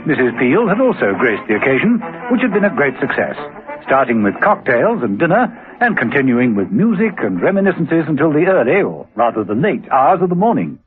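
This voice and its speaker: Animated male radio-drama narrator. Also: mid-range voice, brisk, soft background music. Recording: lo-fi old radio recording.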